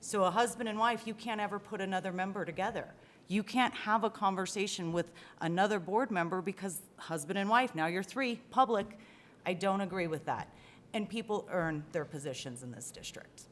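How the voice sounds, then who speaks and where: A woman's voice: medium pitch, moderate pace. A woman answering as a school board candidate at a forum, blunt and emphatic. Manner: blunt, declarative.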